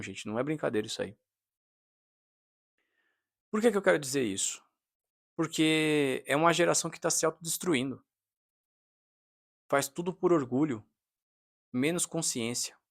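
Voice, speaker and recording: A man's voice: mid-range voice, vocal fry. A man, talking earnestly to camera in a casual vlog and confiding in his viewers. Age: 30s. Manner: earnest, confiding, frequent "né?" tags. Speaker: vlogger, fitness trainer.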